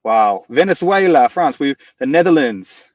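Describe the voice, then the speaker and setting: A man's voice: mid-range voice, lively intonation, moderate pace, no room sound, recorded from a distance, dull audio. A man from New Zealand with a New Zealand accent, hosting a live webinar in an upbeat, chatty way with plenty of fillers and restarts. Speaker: webinar host.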